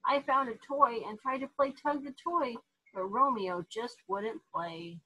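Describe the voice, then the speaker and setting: Lady doing an excitable little boy dog from a kids book, bright n husky, super innocent. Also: fairly high pitch, unhurried, full character voice, low-quality recording. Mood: excited, happy. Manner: wide-eyed, childlike, exclaiming and questioning. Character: eager, innocent, excitable young male dog narrating his day.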